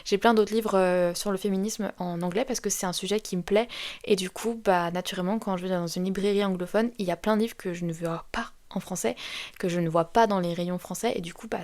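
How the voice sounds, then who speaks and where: Young French woman, book vlogger, chatty rambling delivery, mid-pitched everyday voice. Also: darkish tone. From France. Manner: chatty, rambling with many fillers and restarts. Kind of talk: casual advice chat to camera.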